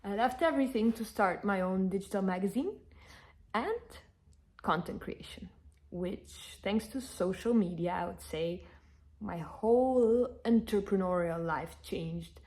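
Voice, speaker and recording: A woman in her thirties from Northern Italy, a native Italian speaker, chatting to camera in an animated, emphatic way, answering followers' questions. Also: medium pitch, measured pace. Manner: animated, emphatic, repeats herself. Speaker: content creator. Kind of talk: casual Q&A chat to camera.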